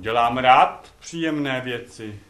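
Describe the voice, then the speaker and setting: A man's voice: medium pitch, fairly bright tone, close to an everyday voice. A man playing a clever, cheeky male slave in a comic stage play, pleading with mock servility and impudence. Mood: sly, obliging. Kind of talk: live comic stage acting. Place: theatre stage, recorded from far off. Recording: distant echoey stage recording, noisy.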